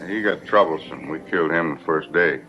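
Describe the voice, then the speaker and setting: An actor playing a cold, ruthless outlaw killer in a 1950s television western. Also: male voice, rumbling low, fairly quick, sharp tone, lo-fi sound, soft background music, heavily acted. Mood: callous.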